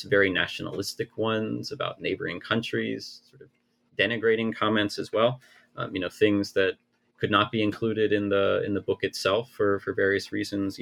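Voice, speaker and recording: Male author, thirties, low gravelly voice, careful hedging interview answers. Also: fairly bright tone. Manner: measured, hedging, many restarts. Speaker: author and researcher.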